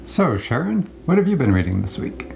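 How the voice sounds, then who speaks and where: A man co-hosting a casual book review, speaking in a measured, matter-of-fact way. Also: medium pitch, sing-song, gravelly, a little mellow, a little hiss or hum, low-quality recording. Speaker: co-host of a book review show.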